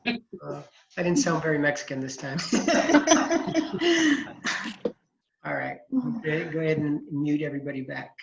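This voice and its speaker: A man in his forties, a college accounting instructor, introducing himself to his class in a relaxed, chatty way over a video call. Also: a little high, a little slow, vocal fry, long pauses, slight background noise. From California, USA. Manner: relaxed and chatty, with frequent fillers. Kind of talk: casual online class introduction. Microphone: computer mic on a video call. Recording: echoey, dull video-call audio.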